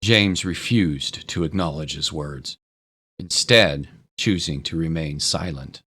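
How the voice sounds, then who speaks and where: A man narrating a scripted dramatic story in a solemn, deliberate way. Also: a bass voice, sharp tone. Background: clear music.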